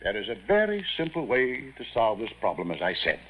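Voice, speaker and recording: Blustering general, swinging pitch. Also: male voice, wildly swooping pitch, a little fast. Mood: stern, blustering. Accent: American. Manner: pompous, sputtering. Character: blustering, exasperated older male Air Force general. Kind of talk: 1940s radio acting. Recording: muffled old radio broadcast.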